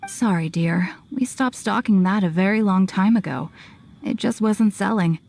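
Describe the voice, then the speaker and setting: A woman's voice: medium pitch, normal speed, vocal fry, a little mellow, a little hiss or hum, lightly acted. A woman playing a patient, matter-of-fact bookseller in a video game. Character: matter-of-fact, familiar adult female bookseller.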